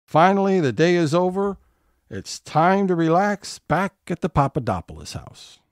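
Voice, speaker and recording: A man's voice: sits high, big pitch swings, normal speed, dry recording. A man narrating scene changes in a scripted audio theatre comedy.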